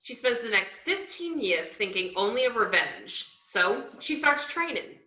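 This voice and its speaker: A woman's voice: on the high side, big pitch swings, measured pace, pushed and bright, full character voice. A woman in a table read, playing a brash, cheeky young narrator with a sardonic, slangy way of telling a crime story. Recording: roomy, dull video-call audio.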